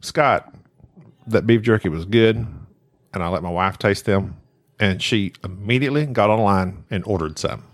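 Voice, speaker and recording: A man co-hosting a casual podcast who teases his partner with quick joking interjections. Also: fairly low pitch. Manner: teasing, jokey interjections. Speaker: podcast co-host.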